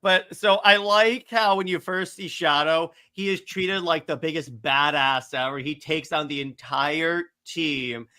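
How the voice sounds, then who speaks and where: A man hosting a casual movie discussion, talking in an excitable, emphatic way. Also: on the high side, unhurried, few pauses. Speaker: podcast host.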